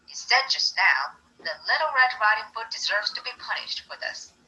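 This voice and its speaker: A woman playing a calm male defense lawyer, speaking in a lowered, steady, formal way. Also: normal speed, lo-fi sound. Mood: arguing.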